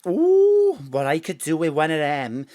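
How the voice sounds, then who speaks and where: A man's voice: a little high, huge pitch swings, measured pace, dark tone, no room sound. A man voicing a chatty, doting older mother who rambles in a sing-song way, in a radio play. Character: chatty older Welsh mam, fond and gossipy. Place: home studio.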